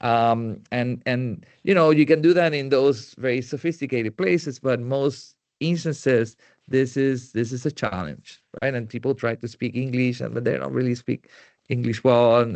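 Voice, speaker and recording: A man's voice: medium pitch, darkish tone, no room sound. Puerto Rican male presenter, Spanish native, mid voice, hesitant delivery, dry sound. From Puerto Rico. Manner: halting, many fillers and restarts. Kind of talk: tech presentation.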